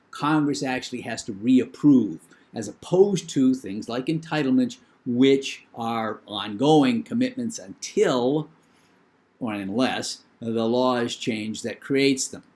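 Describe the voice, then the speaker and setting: An older man with a New England accent giving a recorded lecture in a measured, opinionated way, with thinking pauses and fillers. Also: medium pitch, a little mellow.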